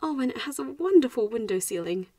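A woman playing a teasing, haughty yet protective young vampire schoolgirl in a roleplay audio drama, speaking with deliberate phrasing and sighs. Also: fairly high pitch, lively intonation, dark tone, no echo. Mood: relieved.

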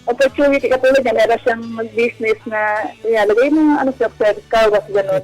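A Filipino woman speaks as a radio caller, confiding in the hosts in casual, personal talk. Soft music runs underneath her voice. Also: fairly high pitch, a little fast, rough recording. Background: background music.

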